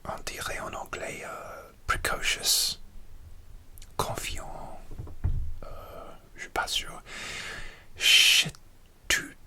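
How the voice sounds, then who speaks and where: Guy whispering asmr style, super slow, very bright tone, trips on words. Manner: slow, careful whisper, hesitant over unfamiliar words. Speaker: learner presenting dialect rules.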